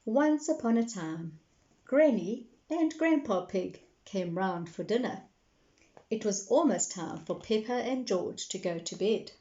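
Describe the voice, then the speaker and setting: A slightly lo-fi recording of a woman storyteller reading aloud to children. She takes it very slowly, in a medium-pitched voice, expressively and speaking straight to her young listeners. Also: lively intonation, dark tone. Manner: addresses young listeners with tag questions.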